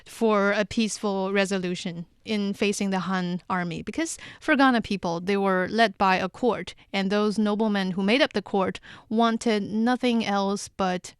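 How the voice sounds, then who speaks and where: A woman's voice: medium pitch, moderate pace, smooth, no echo, studio quality. A woman hosting a casual podcast history quiz, reading out questions and explaining the answers in a chatty way.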